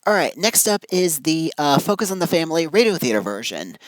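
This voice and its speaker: A video reviewer of unclear sex giving informal, opinionated commentary to viewers in a halting, emphatic way. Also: medium pitch, big pitch swings, dry recording, rough recording.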